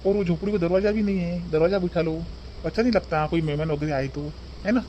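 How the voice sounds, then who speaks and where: Man playing a high-voiced, questioning visiting relative. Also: quick pace, dark tone, highs cut off, slight background noise, lightly acted. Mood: reassuring, advising. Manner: questioning, code-switches between Hindi and Marathi. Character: visiting educated brother-in-law, polite, advising, mildly probing. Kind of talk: cartoon family dialogue.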